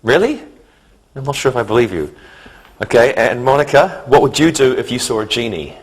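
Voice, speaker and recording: A man teaching a scripted English lesson for beginners in a deliberate, instructional way. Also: medium pitch, lively intonation, husky, poor audio.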